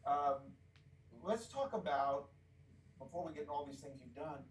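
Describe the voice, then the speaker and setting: A man's voice: fairly high pitch, expressive, normal speed. A man hosting a podcast interview in an encouraging, casual way with many fillers. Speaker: podcast host. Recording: roomy distant mic with faint noise.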